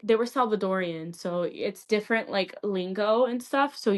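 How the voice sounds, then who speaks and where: A woman's voice: mid-range voice, normal speed. A young woman from California, a podcast guest telling a candid, rambling personal story in casual chat, with many "like" fillers.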